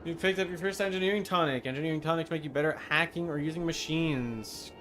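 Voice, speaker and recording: Higher-voiced man streaming a game. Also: fast, a bit bright, faint music behind. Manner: talks to self and game enemies, wry. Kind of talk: casual live gameplay commentary.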